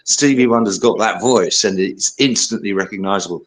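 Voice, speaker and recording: Older UK male guest, low baritone, rambling, filler-heavy, casual interview. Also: normal speed, a crowd in the background. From United Kingdom. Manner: rambling, hesitant, many fillers and "you know". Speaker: guest singer-songwriter.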